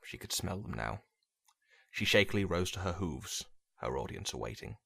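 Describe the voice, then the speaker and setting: A man reading dramatic fiction as a narrator, in a brooding, measured, theatrical way. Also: deep voice, very animated, vocal fry, fairly bright tone, breathy edge, no room sound, clean studio sound. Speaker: story narrator. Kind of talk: dramatic scripted story narration.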